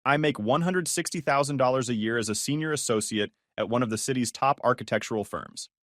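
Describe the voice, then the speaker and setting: A man's voice: mid-range voice, fast, a bit bright, no room sound, studio quality. A man narrating his own story in the first person, in a sarcastic, wry way.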